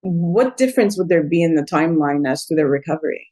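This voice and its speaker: Female interviewer with a low-pitched voice, chatty and effusive, loosely wandering through informal conversation. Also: expressive, quick pace, dark tone. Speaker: podcast host, interviewer.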